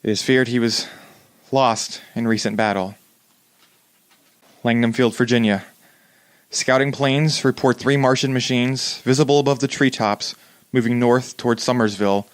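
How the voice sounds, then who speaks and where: A man's voice: mid-range voice, smooth, dry recording, close to an everyday voice. A man playing a radio news announcer in a 1930s-style drama, reading bulletins in a formal, grave manner.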